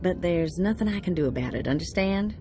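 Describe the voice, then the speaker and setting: A woman's voice: fairly low pitch, lively intonation, brisk, mellow, natural voice. A woman playing a flirty, brash hunters' contact. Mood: teasing. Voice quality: flirtatious and teasing. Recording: music behind.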